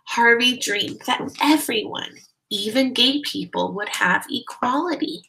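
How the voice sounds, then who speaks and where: Slow-paced woman teaching young children. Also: on the high side, creaky, a bit bright, rough recording. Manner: simple explanations with guiding questions addressed to young children. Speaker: kindergarten teacher. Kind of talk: children's read-aloud lesson.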